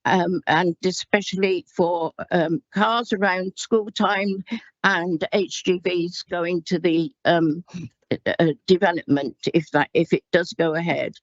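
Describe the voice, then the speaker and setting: A councillor, a woman, making a halting formal speech at a planning committee with many pauses and restarts. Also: lowish voice, fairly even intonation, no echo. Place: council chamber. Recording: dull dry meeting audio.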